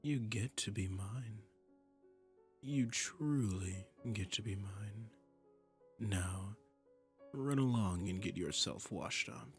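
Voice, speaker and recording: A man's voice: lowish voice, slow pace, long pauses, soft background music. A man playing a seductive, commanding demon prince in a romantic roleplay audio drama, speaking in a silky, imperious way and often dropping into a murmur. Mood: smug, coaxing. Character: seductive, commanding male demon prince claiming a captive singer.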